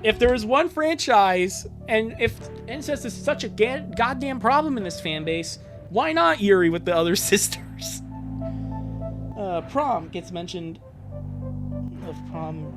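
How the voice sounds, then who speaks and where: A young streamer in their twenties talks to a live chat with a very lively, swinging pitch. The delivery is jokey, hopping between dramatic reading and offhand asides. Music plays clearly behind the voice. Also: a little slow. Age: 20s. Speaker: streamer reading a fan fiction aloud to chat.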